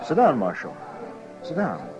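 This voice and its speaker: An actor playing a forward, morbidly curious city writer in an old radio western, eager and talky. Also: male voice, medium pitch, huge pitch swings, unhurried. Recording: dull old radio recording, music bed.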